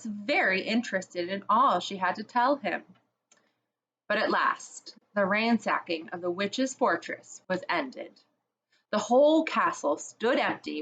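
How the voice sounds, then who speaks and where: Slow, gentle woman reading a storybook. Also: medium pitch, highs cut off, slight background noise. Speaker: children's storyteller. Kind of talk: reading a chapter book aloud to children.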